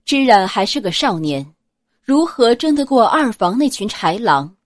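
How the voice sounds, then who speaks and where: A woman's voice: a little high, very animated, a little slow, no room sound, clean studio sound. A woman reading a novel as a scripted audiobook narrator, telling the story expressively.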